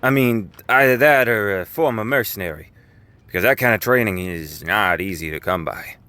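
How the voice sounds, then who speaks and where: A man's voice: on the low side, normal speed, pushed and bright, smooth, dry recording. Careful officer, man acting: clean resonant voice, dry sound, mildly hesitant, audio drama.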